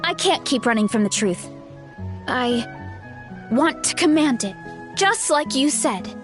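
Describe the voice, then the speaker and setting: A woman voicing a gentle, earnest young heroine in a video game, with a sincere and slightly hesitant manner. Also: high voice, lively intonation, measured pace, over music. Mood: resolute.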